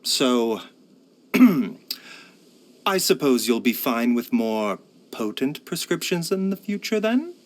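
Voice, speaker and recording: An actor playing a gentle, scholarly herbalist doctor in a video game, with a courteous, measured, formal way of speaking. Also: male voice, mid-range voice, big pitch swings. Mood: sly, pleased. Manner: measured, courteous, soft-spoken formal phrasing. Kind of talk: scripted video game character voice lines.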